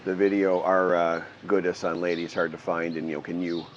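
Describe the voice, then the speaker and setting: An older man from the Midwestern US with a low, deep voice talks casually to his camera. He rambles, restarts his sentences and chuckles now and then. He sits outdoors under a metal roof, with faint background noise of birds and animals around him. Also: monotone, fairly quick, lo-fi sound. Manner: rambling, self-correcting, chuckles often. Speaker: vlogger living abroad.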